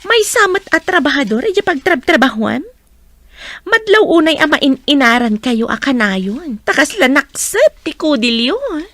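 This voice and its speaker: Haughty young woman, northern Philippine accent, high and swooping, scornful, radio drama. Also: lively intonation, moderate pace, fairly bright tone, no room sound. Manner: scornful, cutting. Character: haughty, sharp-tongued, ambitious young woman.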